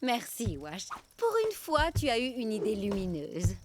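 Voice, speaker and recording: A woman's voice: medium pitch, very animated, measured pace, very noisy. A woman voicing a wry, sarcastic female blackbird in a cartoon, hard to impress. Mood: wry, grateful. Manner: wry, unimpressed. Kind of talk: cartoon voice acting. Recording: loud cartoon music behind.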